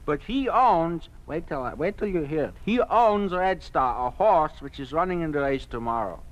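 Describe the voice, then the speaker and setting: An actor playing a fussy, excitable older businessman in a 1950s radio drama, nagging and animated. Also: a little high, normal speed, lo-fi sound, natural voice. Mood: conspiratorial, excited. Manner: nagging, animated, inverted phrasing. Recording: vintage 1950s radio broadcast.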